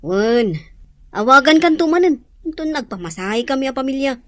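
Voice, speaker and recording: A woman's voice: high-pitched, expressive, moderate pace, no echo. A woman playing a matter-of-fact friend in an audio drama, chatty and advising, with an Ilocano accent.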